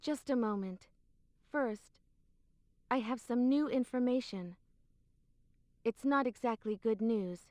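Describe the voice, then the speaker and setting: A woman's voice: a little high, slow pace, pauses often, darkish tone, studio quality. An actress playing a composed, secretive young woman in a video game cutscene, with a cool, matter-of-fact delivery. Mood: calm, serious. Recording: roomy, faint game music behind.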